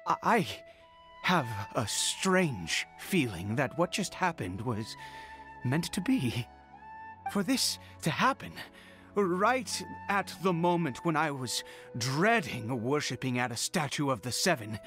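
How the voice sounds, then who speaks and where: An actor playing an earnest, troubled father in a video game, speaking in a halting, expressive, awestruck way. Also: a little high, soft background music, heavily acted. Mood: relieved, awed. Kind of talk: scripted video game voice acting.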